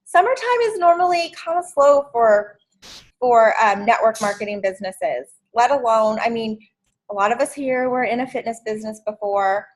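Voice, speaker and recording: A woman's voice: on the high side, normal speed, rough recording. A woman in her fifties hosting a sales training video call, chatty and encouraging. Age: 50s. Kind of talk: casual video call meeting.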